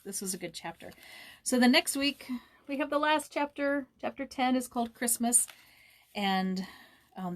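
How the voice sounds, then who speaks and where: A woman's voice: mid-range voice, a bit bright. A woman hosting a read-aloud, narrating a children's novel. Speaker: storybook reader and host.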